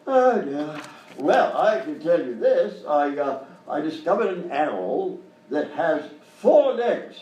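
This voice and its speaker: A man playing a blustering, befuddled upper-class English gentleman explorer in a live comedy sketch, pompous and easily flustered. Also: fairly high pitch, big pitch swings, slow pace, dull audio. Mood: flustered, dismayed. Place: live stage show at a hotel. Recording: roomy live hotel recording, distant mic, faint noise.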